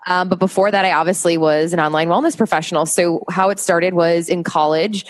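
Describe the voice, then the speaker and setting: A woman's voice: fairly low pitch, steady tone, a little fast, big-room sound. A young woman with a Chicago accent, a business coach guest speaking in a chatty, candid way. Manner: chatty, candid, laughs easily. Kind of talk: casual interview.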